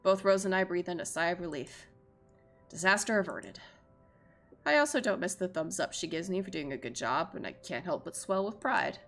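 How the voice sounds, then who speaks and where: A woman's voice: lowish voice, lively intonation, moderate pace, a bit bright. A woman streamer reading visual novel narration aloud and adding chatty, self-deprecating reactions, with game music behind her.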